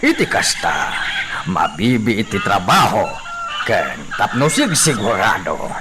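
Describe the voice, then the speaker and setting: Man acting a slow, commanding landowner. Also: on the low side, sing-song, very noisy. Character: bossy male landowner instructing his farm overseer. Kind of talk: Philippine radio drama acting.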